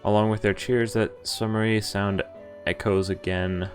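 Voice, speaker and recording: A man's voice: lowish voice, little pitch movement, moderate pace, faint music behind. A man streaming a game, reading dialogue aloud and reacting in a casual, wry, sweary way. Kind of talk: casual let's-play commentary and read-aloud of dialogue.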